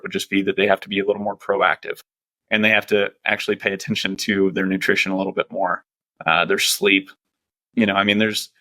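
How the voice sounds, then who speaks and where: A man's voice: on the low side, fairly bright tone, no echo. A man, a strength and conditioning coach, speaking casually as a podcast guest, laughing often and talking loosely. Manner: laid-back, laughs often, loose filler-heavy talk.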